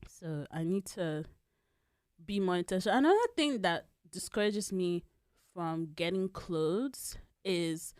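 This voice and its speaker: A woman's voice: fairly low pitch, a little slow, darkish tone, clean tone, dry recording, clean studio sound. A woman in her twenties, co-hosting a podcast in casual chat, sometimes slipping into a girlish baby voice and laughing often. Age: 20s. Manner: chatty, laughs often, trails off and restarts. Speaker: podcast co-host.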